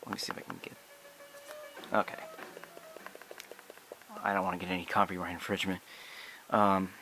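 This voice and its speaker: Bright-voiced American man, halting and low-pitched. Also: little pitch movement, vocal fry, soft background music, rough recording. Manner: halting, hesitant delivery with drawn-out pauses. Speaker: product reviewer. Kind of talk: casual unscripted product demonstration.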